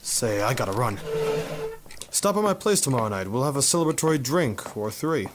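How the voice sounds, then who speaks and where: A man's voice: mid-range voice, very animated, brisk, noisy background, close to an everyday voice. A man playing a cocky war photographer turned sleuth in a hard-boiled 1950s noir radio drama, with a dry, wisecracking delivery. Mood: easygoing, wry.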